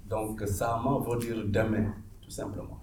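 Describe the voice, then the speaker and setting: A man's voice: on the low side, flat delivery, a little slow, a little mellow, a little hiss or hum. Man explaining music in a roomy space. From Senegal. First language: Manding. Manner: patient step-by-step explaining, addressing the audience directly. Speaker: musician teaching an audience. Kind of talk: instrument demonstration workshop. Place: room with a live audience. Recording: roomy echo with plucked strings playing.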